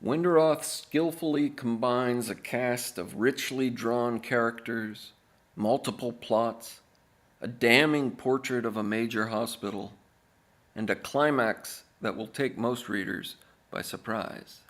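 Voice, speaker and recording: A man reading aloud as an author, in a deadpan, measured manner with deliberate pauses. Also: medium pitch. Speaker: author reading own book.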